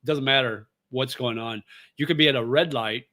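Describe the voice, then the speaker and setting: A middle-aged man who once worked in radio, talking loosely on a livestream and answering viewer comments. He rambles in an easygoing way, with lots of fillers along the way. His voice sits at an ordinary pitch and is recorded dry and close to the mic. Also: moderate pace, sharp tone, dry recording. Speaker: livestream host; former radio host. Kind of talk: casual live chat reading viewer comments.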